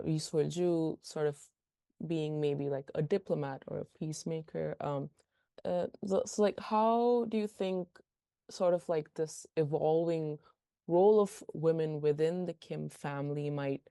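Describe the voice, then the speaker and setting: A woman's voice: deep voice, gravelly, clean tone, dry recording, studio quality. A young adult woman hosting an interview, hesitant and filler-heavy as she asks questions and wraps up. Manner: hesitant, many fillers, soft laughs.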